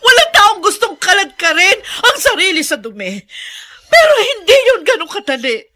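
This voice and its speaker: A bright-voiced, lustful, tormented Filipino mother. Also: very high-pitched, very animated, moderate pace, heavily acted. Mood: anguished, defensive. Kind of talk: Filipino radio drama acting.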